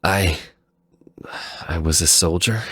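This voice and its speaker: A man voicing an amnesiac android warrior who sounds bewildered, gentle and sincere, hesitant and wondering, in a dramatic fan fiction reading. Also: deep voice, slow, breathy edge, no echo, exaggerated voice. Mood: stunned, confused.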